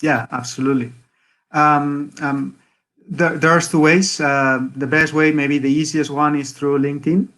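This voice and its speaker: A man's voice: on the high side, level tone, measured pace, fairly bright tone. A man speaking as a guest on an online call, in a polite, conversational way. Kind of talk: casual video-call conversation.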